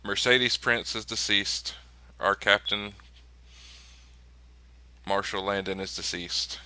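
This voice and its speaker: A man playing a tabletop role-playing game, talking in measured phrases at the game table. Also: lowish voice, bright, forceful tone, clear, resonant tone, dry recording.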